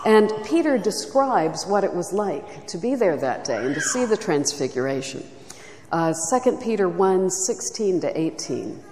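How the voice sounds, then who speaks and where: Soft-spoken woman in an echoey hall. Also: on the low side, very animated, a little slow, a little hiss or hum. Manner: soft-spoken, reflective, with frequent fillers. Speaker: retreat speaker. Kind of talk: teaching talk at a church retreat. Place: church hall.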